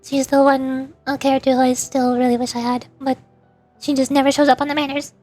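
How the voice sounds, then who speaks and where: A woman's voice: sits high, level tone, a little fast, a little mellow. A young woman streamer chatting casually about games, rambling with many fillers. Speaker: vtuber streamer. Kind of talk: casual game commentary. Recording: faint music behind.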